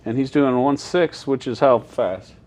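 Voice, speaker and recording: A man's voice: medium pitch, measured pace, a little mellow. Plain-spoken man explaining things step by step. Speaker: air traffic controller. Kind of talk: casual walkthrough of equipment. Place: inside an airport control tower.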